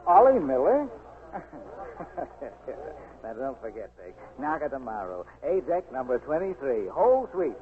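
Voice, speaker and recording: A man's voice: medium pitch, wildly swooping pitch, a little slow. A man acting a boastful, boozy newspaperman in a 1940s radio drama, swaggering and rambling. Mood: mock-dignified, chummy. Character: boastful, boozy American newspaperman, giddy with new money. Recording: noisy, tinny 1940s radio recording.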